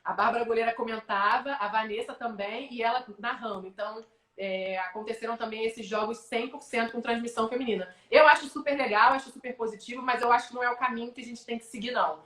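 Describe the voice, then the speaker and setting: Brazilian woman, sports announcer, fairly high voice, lively storytelling, echoey room. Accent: Brazilian Portuguese. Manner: animated storyteller, quick asides. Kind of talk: casual interview over a live stream. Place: home, online video call.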